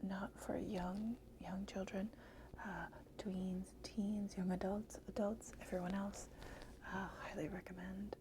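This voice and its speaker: A woman whispering a soft ASMR book review, slightly hoarse and hesitant, with many fillers. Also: medium pitch, level tone, slow, background noise.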